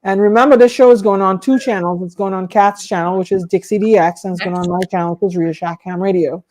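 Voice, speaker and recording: A woman's voice: mid-range voice, fairly even intonation, fast talker, a little mellow. Woman co-hosting a casual livestream. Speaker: livestream co-host. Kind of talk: casual livestream chat about a hobby.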